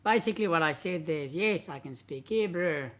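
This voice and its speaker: A man's voice: fairly high pitch, expressive, fairly quick. A man in his thirties with an Afrikaans accent, a native Afrikaans speaker, hosting a live question-and-answer stream. He reads out viewers' questions and answers them in a candid, blunt, conversational way from a home study. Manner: candid, blunt and conversational, gestures while talking. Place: indoor home study with bookshelves. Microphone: webcam at a desk, seated.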